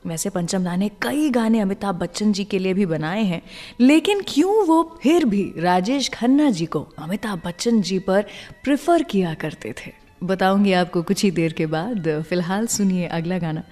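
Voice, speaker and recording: A woman's voice: mid-range voice, expressive, normal speed, mellow. A woman presenting a music radio show, speaking conversationally and directly to her listeners. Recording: long reverb, music playing behind. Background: background music.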